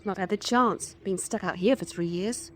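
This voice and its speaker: A woman's voice: mid-range voice, moderate pace, close to an everyday voice. An actress playing a plain-spoken English woman in her twenties in a wartime radio drama, talking in an artless, colloquial way. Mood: dazed, shy. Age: 20s. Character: chatty, plain-spoken young British survivor, twenty-three.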